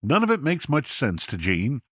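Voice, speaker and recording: A man narrating a scripted story for a podcast in an expressive, deliberate way. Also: medium pitch, breathy edge, no room sound, clean studio sound.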